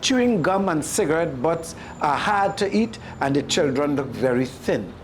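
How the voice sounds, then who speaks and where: Caribbean storyteller, expressive read-aloud delivery, very animated pitch movement. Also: normal speed, a little hiss or hum.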